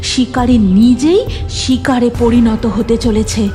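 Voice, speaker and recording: A woman's voice: fairly high pitch, fairly even intonation, unhurried, mellow, faint music behind. A woman narrating a scripted horror story for a cartoon in a dramatic storytelling style.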